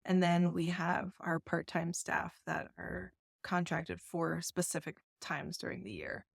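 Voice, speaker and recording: A woman from New York, a festival founder, talking through an interview in a soft, diplomatic way and laughing often. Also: lowish voice, level tone, unhurried, vocal fry, breathy edge, no echo, clean studio sound. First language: English. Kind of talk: casual interview chat.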